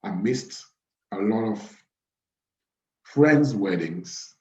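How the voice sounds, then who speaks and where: A man in his thirties from Cameroon hosting an informal live webinar, speaking haltingly and repeating phrases. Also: lowish voice, lively intonation, a little mellow. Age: 30s. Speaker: webinar host. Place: small plain room indoors. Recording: roomy, dull webcam audio.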